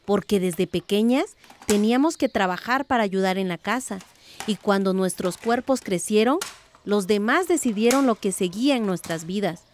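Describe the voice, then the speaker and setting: A woman's voice: medium pitch, moderate pace, slight background noise, natural voice. A woman playing a thoughtful, determined middle-aged village aunt in a radio drama, speaking earnestly and reflectively.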